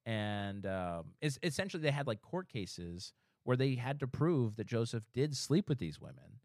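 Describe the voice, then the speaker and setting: A man hosting a casual podcast chat, reacting quickly and wandering through explanations. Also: mid-range voice, very animated, a little mellow, dry recording, studio quality. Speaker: podcast host.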